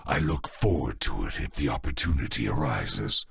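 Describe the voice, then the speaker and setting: An actor playing a grim, loyal henchman in a video game, gravelly and husky, with a terse, stolid delivery. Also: extremely low pitch, animated, normal speed, a little hiss or hum, full character voice. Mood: grim, wary. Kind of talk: dramatic video game voice acting.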